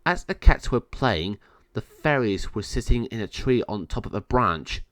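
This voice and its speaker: A storybook narrator with a mid-pitched voice reads a scripted children's tale. The delivery rises and falls in a lively way, and the sound is close and dry. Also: moderate pace, no echo.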